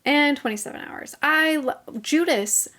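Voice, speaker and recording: A young woman in her twenties chats to camera in a casual vlog, gushing with enthusiasm. Her voice sits a little high and has gone slightly hoarse from talking so long. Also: unhurried, sharp tone. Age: 20s. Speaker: channel host. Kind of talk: casual music review vlog.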